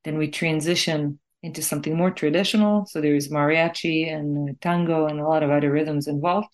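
A woman from Israel speaks in a low-pitched voice as an interviewed singer-songwriter. She is reflective and explains her thinking carefully, in a conversational way. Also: steady tone, fairly quick, a little mellow.

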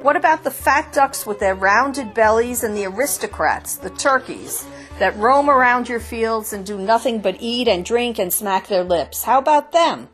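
A woman's voice: expressive, normal speed, hardly any pauses, fairly bright tone, soft background music. A woman voicing a defiant flock of chickens that squawk and mock. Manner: shrill, squawking, mocking. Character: clamoring defiant flock of hens.

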